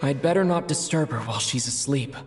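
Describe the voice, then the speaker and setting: An actor playing a quietly considerate young adventurer thinking aloud in a video game. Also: male voice, medium pitch, fairly quick, music in the background, close to an everyday voice. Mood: quietly resolved. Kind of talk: video game character acting.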